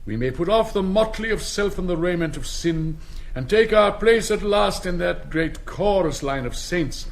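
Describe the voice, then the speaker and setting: An actor playing a pompous, elderly, stern school headmaster, declaiming with precise theatrical diction. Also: male voice, high-pitched, animated, moderate pace, gravelly. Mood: solemn, orating. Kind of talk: theatrical stage comedy acting.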